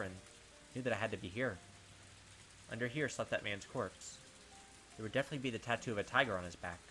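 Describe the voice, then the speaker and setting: A young man reading a visual novel aloud in a dramatic first-person voice, breaking off for excited asides and commentary as a let's play gamer. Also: on the low side, low-quality recording.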